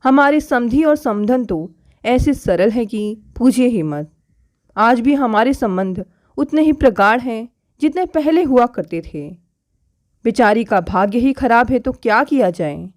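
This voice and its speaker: A woman narrator reads as an elderly father in a domestic storybook tale. He is plain-spoken and fatherly, in a fairly high voice. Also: animated, unhurried. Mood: resigned. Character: caring elderly father, resigned about his daughter's fate.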